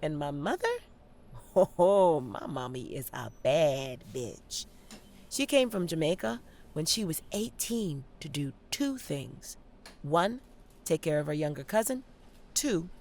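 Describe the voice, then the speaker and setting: A woman's voice: low-pitched, big pitch swings, slow, gravelly, darkish tone, full character voice. A young woman with an American accent acting a savvy theater student in an audio play, sardonic and theatrical as she talks to the audience. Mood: candid, proud. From Detroit, United States. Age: young adult. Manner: theatrical, sardonic, swinging between confiding and performing. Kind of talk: dramatic monologue to the audience.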